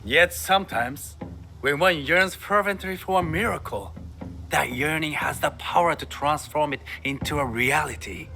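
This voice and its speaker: A man's voice: on the high side, moderate pace, noisy background, lightly acted. A man playing a grandiose, zealous samurai recruiter in a video game cutscene, talking in a florid, ingratiating, theatrical way.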